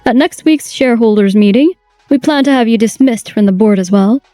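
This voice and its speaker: Woman voicing a stern calm chairwoman, mellow dry voice, bit high. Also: brisk, no room sound, faint music behind, natural voice. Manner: calm, authoritative. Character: stern, composed female company chairwoman.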